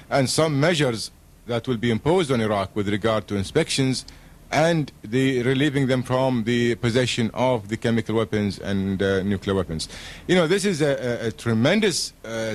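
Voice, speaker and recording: A man speaking as a radio guest in a formal political discussion, earnest and emphatic, with diplomatic phrasing. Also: medium pitch.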